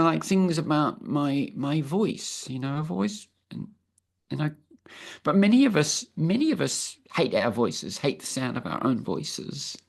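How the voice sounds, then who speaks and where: Woman, 60s, croaky and gravelly, a thoughtful, earnest guest on a video call. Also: low voice. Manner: earnest, thinking aloud with many restarts. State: croaky from travel. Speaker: interview guest. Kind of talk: reflective personal conversation.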